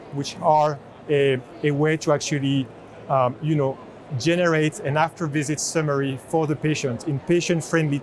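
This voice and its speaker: A man with a slightly high voice presents a product off the cuff. He explains earnestly, often pausing and repeating words. There is noticeable exhibition hall noise behind him. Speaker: chief product officer giving a product demo. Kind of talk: spontaneous product demo presentation. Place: indoor trade show booth in large exhibition hall. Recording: busy convention hall background noise.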